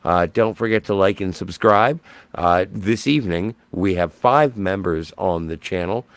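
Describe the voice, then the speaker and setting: A man's voice: sits low, unhurried, no echo. A man hosting a casual panel chat, blunt and rambling, with a jokingly self-important streak. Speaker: show host.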